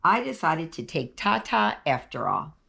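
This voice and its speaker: A woman's voice: deep voice, very animated, measured pace, vocal fry, bright, forceful tone, exaggerated voice. A woman voicing a curious, anxious little boy at a sleepover in a storybook, with eager, insistent delivery. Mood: earnest.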